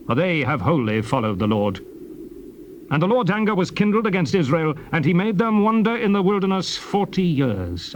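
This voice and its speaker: Stern bible prophet, solemn and emphatic, scolding leader, slightly high voice, bit of cassette noise. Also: male voice. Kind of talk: dramatized King James Bible reading. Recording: noisy old cassette tape.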